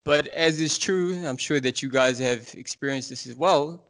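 A man's voice: medium pitch, creaky, a bit bright. A man in his thirties from South Africa, with a South African accent, talking earnestly and at length as a podcast guest. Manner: earnest, fast-flowing, passionate explaining. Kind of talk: casual interview talk.

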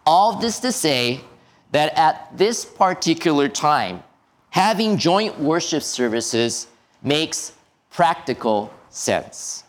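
Slow, measured male pastor preaching. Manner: slow, measured preaching with lively pitch and drops at phrase ends. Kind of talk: sermon.

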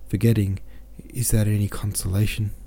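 A man's voice: fairly low pitch, little pitch movement, normal speed, gravelly, dark tone, slightly rough. A man reading the lines of a grieving, desperate young woman in a novel, pleading and tearful.

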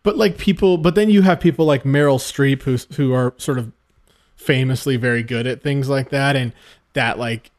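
A man's voice: medium pitch, moderate pace, a little mellow. A man co-hosting a film podcast, thinking aloud and rambling through his point with restarts. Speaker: podcast co-host. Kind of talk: casual film discussion.

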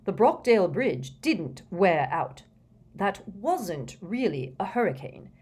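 A woman's voice: mid-range voice, sing-song, slow, darkish tone, slightly rough, full character voice. A woman voicing a flustered, blustering older male wizard minister in a storybook reading, fretful and emphatic. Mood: grave, urgent.

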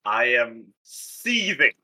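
A man's voice: mid-range voice, big pitch swings, very slow, sharp tone. A man chatting casually as a tabletop game player, with a wry, exasperated manner and some swearing. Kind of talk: casual tabletop roleplay chat.

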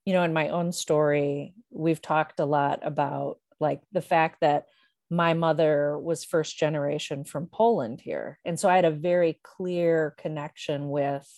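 A woman talking reflectively about personal matters in a thoughtful, measured way, pausing to find the right words. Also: low-pitched, steady tone, a little mellow, no echo, clean studio sound.

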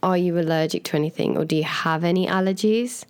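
A woman co-hosting a podcast in casual chat, her voice croaky from a cold, chatty and laughing often. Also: on the low side, fast talker. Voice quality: naturally croaky. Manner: chatty, laughs often, trails off mid-sentence. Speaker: podcast co-host.

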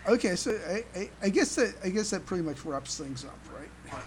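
A woman hosting a casual fan podcast, speaking in a hesitant, halting way on an amateur recording. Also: medium pitch, lively intonation, crowd noise. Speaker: podcast host.

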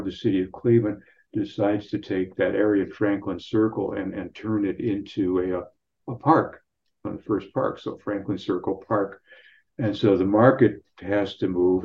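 An American man, a history professor, explaining informally in a halting, digressive way on slightly muffled audio. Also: fairly low pitch. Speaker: history professor, radio guest.

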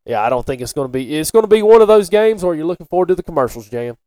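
A middle-aged man talks casually as a podcast co-host. His voice sits a little high and is very smooth and clear, with a dry, close sound. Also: big pitch swings, very fast, dark tone, no room sound. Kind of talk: casual sports and local-news chat.

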